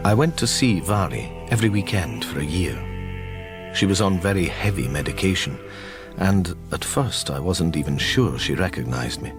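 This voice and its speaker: Deep-voiced, gentle psychic detective. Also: male voice, expressive, music in the background. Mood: tender, reflective. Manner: measured, tender, wistful. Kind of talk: reflective radio drama narration.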